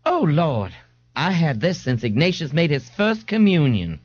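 A man's voice: a little high, huge pitch swings, unhurried, breathy edge, no echo, muffled recording, pitched above the performer's own voice, heavily acted. A man voicing a nagging, folksy older mother in an audiobook. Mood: eager, brassy. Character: brassy working-class New Orleans mother, older woman, nagging.

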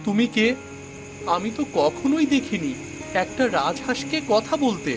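A man's voice: high voice, sing-song, normal speed, music in the background. A man voicing a kind-hearted, naive village fisherman in a cartoon, earnest and exclaiming in wide-eyed fashion. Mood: amazed, bewildered. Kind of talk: cartoon voice acting.

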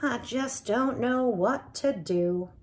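A woman's voice: on the high side, slow, a little mellow. A woman voicing a hopeful, creative woman hat-maker in a storybook, earnest and grateful.

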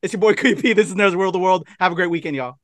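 A man's voice: sits high, very fast, fairly bright tone, no room sound. A man hosting a casual video interview in an upbeat, colloquial way. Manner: upbeat, colloquial, says y'all. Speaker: interview show host. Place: indoors, on a video call.

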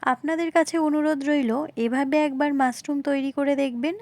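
High-pitched woman narrating a recipe. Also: fairly even intonation, a little fast, a little mellow, no echo. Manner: polite, repetitive explaining, addressing viewers directly. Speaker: home cooking video host. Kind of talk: recipe narration, instructional voice-over.

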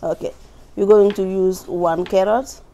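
A woman's voice: medium pitch, fairly even intonation, a little slow, warm tone, lo-fi sound. A woman from Burkina Faso with a West African accent, giving a cooking demonstration as the instructor in a kitchen. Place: large indoor teaching kitchen.

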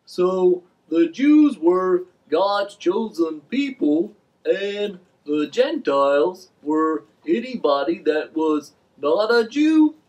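A man's voice: high voice, sing-song, slow, a little mellow, heavily acted, low-quality recording. A man voicing a curious, simple talking fish puppet with a drawling manner for a children's puppet show. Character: slow, mellow, curious talking fish puppet named Fred.

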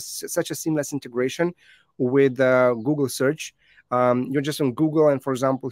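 A man in his thirties, a native Lithuanian speaker, gives a conference talk over slides, halting with many fillers and restarts. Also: mid-range voice, no echo, clean studio sound.

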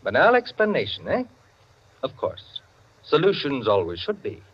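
An actor playing an opinionated, theatrical gentleman raconteur in 1940s radio style, declaiming pompously with rhetorical questions. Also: mid-range voice, wildly swooping pitch, moderate pace, breathy edge. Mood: self-satisfied. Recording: muffled, murky old radio recording.

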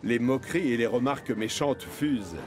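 A man's voice: mid-range voice, moderate pace. A man narrating a scripted children's story. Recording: music behind the narration.

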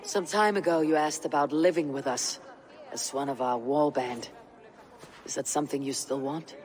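A male actor plays a young Viking war leader in a dramatic video game. The voice is slightly high for a man, measured and resolute. It carries steady command. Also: natural voice. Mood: warm, resolute.

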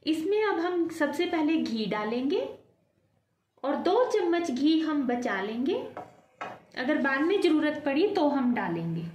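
A woman hosting a home cooking video, calmly talking viewers through each step and calling them friends. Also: high-pitched, measured pace, reverberant. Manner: addresses viewers as friends, calm explaining. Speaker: cooking channel host. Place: home kitchen at the stove.

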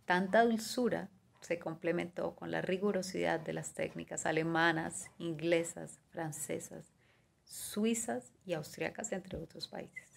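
A woman in her thirties speaks in a lowish voice with a gravelly fry, giving a measured, partly read talk. She works through long lists item by item and fills her pauses with short hesitations. She is heard over a webcam from a small room. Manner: measured, listing items, with fillers like "eh" and "digamos". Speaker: guest speaker on pastry and cuisine. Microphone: video call webcam.

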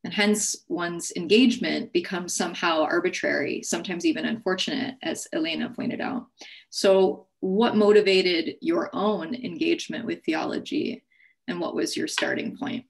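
Gravelly low woman moderating a panel. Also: normal speed. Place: home office. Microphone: earbuds on a video call.

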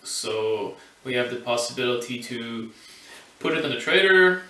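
A man in his thirties, co-hosting a sailing vlog at home, talking in a dry, deadpan way. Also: mid-range voice, normal speed. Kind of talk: casual chat. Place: living room at home, indoors. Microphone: far camera. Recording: echoey room, mic at a distance.

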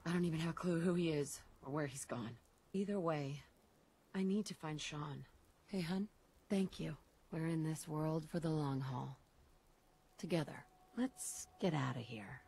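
Low-voiced woman survivor. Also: unhurried, darkish tone, husky, full character voice. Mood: warm, wry. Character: shaken, grieving young mother, wasteland survivor. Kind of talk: video game dialogue acting.

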